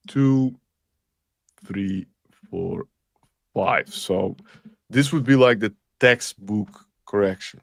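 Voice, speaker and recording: A man's voice: lowish voice, creaky, dry recording, clean studio sound. A man with an Austrian accent, hosting a live crypto stream and talking through charts with frequent fillers. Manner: rambling, thinks aloud with fillers. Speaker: crypto show host.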